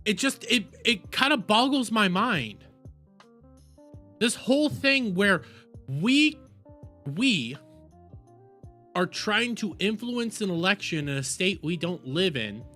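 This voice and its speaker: Bright-voiced man ranting on a livestream. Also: sits high, very animated, faint music behind. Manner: exasperated, halting with repeated words; casual swearing. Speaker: streamer, host. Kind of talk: casual livestream political rant.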